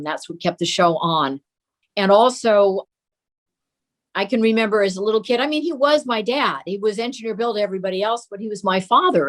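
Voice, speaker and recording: Older woman, mid-range voice, casual reminiscence, chatty, emphatic repetition. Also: mid-range voice. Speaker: interview guest.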